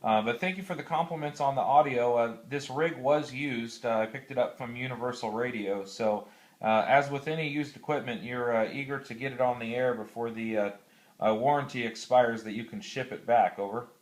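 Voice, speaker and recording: An American man talking casually on amateur radio, explaining methodically and haltingly, with radio procedure words. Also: medium pitch, rough recording. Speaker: classroom teacher.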